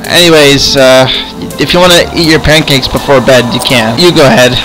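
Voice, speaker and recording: A man improvising in-character chat in a video game roleplay, halting and often repeating words. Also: mid-range voice, music in the background, low-quality recording. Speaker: host and player of an online roleplay series.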